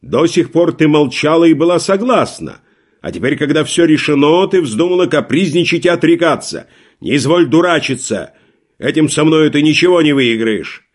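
A man's voice: on the high side, animated, voice pushed up. A man voicing a domineering old nobleman. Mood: angry. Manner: stern, threatening, slow and forceful.

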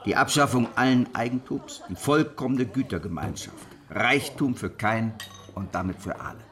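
An actor playing a grave, shrewd rebel preacher of the sixteenth century in a radio drama, speaking in a measured, earnest way. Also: male voice, mid-range voice, expressive, fairly bright tone, natural voice. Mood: grave, resolute. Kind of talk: historical radio drama acting.

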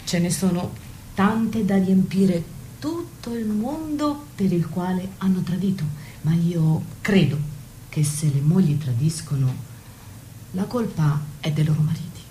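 Wry, defiant maid-confidante played by an actress, radio drama, dark soft tone, knowing delivery, faint noise. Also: female voice, fairly low pitch, lively intonation, unhurried, poor audio. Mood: bitter, defiant. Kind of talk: stage-play dialogue and monologue.

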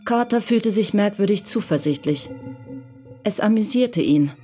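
A woman's voice: medium pitch, lively intonation, a little slow, warm tone, faint music behind, close to an everyday voice. A woman narrating a crime radio drama as a composed, detached storyteller. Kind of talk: scripted literary narration in a radio play.

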